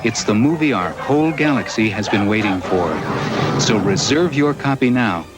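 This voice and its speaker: Male trailer-style announcer, lowish voice, selling a home video release over prominent music. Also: lively intonation, normal speed. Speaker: commercial announcer. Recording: loud music under the voice. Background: loud music.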